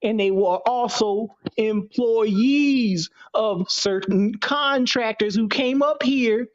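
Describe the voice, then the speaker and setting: A man in his late twenties explaining his views in a halting, deliberate way as a guest in an online discussion. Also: high-pitched, lo-fi sound. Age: 20s.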